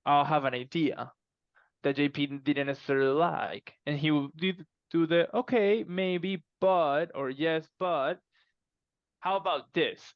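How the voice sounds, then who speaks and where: A man chatting casually as a podcast guest, explaining things in an animated, emphatic way and often repeating himself. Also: on the high side, dry recording, highs cut off. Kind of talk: casual conversational interview answers.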